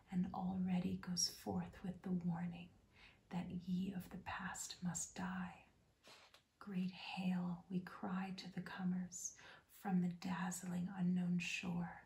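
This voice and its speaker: A woman hosting an ASMR channel, reading a rhyming poem in a soft, unvoiced whisper throughout. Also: on the low side, vocal fry, echoey room. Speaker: host.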